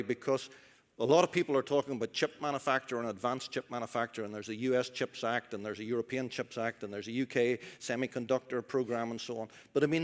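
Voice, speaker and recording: Chatty male panel moderator. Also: mid-range voice. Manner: chatty, enthusiastic, curious. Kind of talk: panel discussion. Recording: reverberant conference hall.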